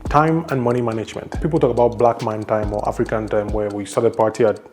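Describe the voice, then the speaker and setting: Young Cameroonian man, upbeat advice vlogger, brisk and warm-toned, light music bed. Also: on the low side, fairly even intonation. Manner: enthusiastic, conversational, lists numbered tips. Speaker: YouTuber. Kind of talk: advice vlog.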